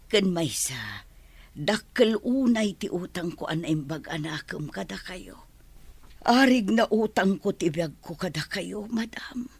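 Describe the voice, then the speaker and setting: Dali, a husky, humble housemaid. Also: female voice, fairly low pitch, no echo, heavily acted. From Philippines. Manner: deferential, soft-spoken. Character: humble loyal young housemaid, grateful and polite. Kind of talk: radio drama acting.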